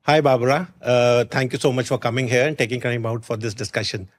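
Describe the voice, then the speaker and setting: A man's voice: mid-range voice, steady tone, a little fast. A man from India hosting a career podcast, asking long conversational questions with frequent fillers and restarts. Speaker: podcast host. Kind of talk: podcast interview.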